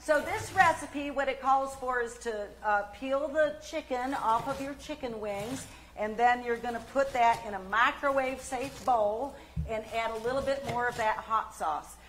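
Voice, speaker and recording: A woman playing a thrifty homespun housewife on an old-time radio comedy cooking show. She is chatty and folksy, pitched fairly high, with faint noise on the live recording. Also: moderate pace, few pauses, a bit bright, lightly acted. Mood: chirpy.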